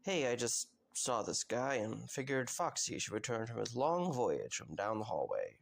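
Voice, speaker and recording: Man playing a wry, gravelly, weary guy. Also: mid-range voice, big pitch swings, normal speed. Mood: casual, tentative. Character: Mike, tired, wry, kindly man investigating mysteries. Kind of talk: audio drama acting.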